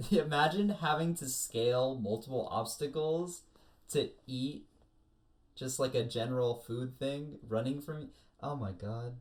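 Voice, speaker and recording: A woman's voice: rumbling low, unhurried, darkish tone. A woman co-hosting a podcast in a casual, conversational style. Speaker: podcast co-host. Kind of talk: casual chat.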